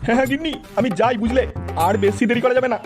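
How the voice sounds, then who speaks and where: A very high, squeaky cartoon village dad, talking fast over clear music. He is a crude, blustering middle-aged husband who scolds, laments and coaxes in exclamations. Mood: placated, chatty. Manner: exclamatory, scolding then coaxing, drawn-out lamenting. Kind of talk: comedy cartoon dialogue. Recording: music behind the voice.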